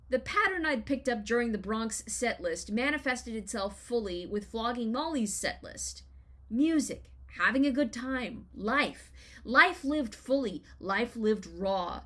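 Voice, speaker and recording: An American woman in her thirties with a fairly high voice reads her own personal essay aloud. She narrates in the first person from a script. Her storytelling is expressive and wry. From Los Angeles, United States. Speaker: writer reading their own personal essay.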